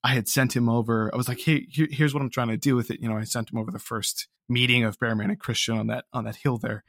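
A man talks reflectively as an interview guest in a mid-pitched voice. He is hesitant and self-deprecating, and the sound is close and dry. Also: fairly even intonation, fast, gravelly, a bit bright, no room sound. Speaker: interview guest, audio drama creator. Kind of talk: casual reflective interview talk.

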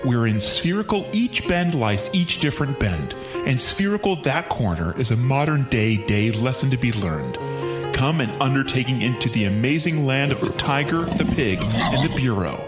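A man playing an earnest voice-over announcer, delivering promo copy in a grandiose, deliberate movie-trailer style for audio comedy. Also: medium pitch, expressive, music in the background, natural voice. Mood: dramatic anticipation. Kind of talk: dramatic movie-trailer narration.

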